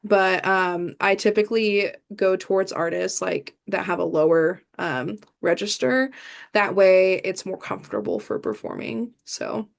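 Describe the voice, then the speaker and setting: A woman's voice: medium pitch, lively intonation, unhurried, vocal fry. A young woman from the American Midwest, answering interview questions in a chatty way, with many fillers and restarts. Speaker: idol performer, guest.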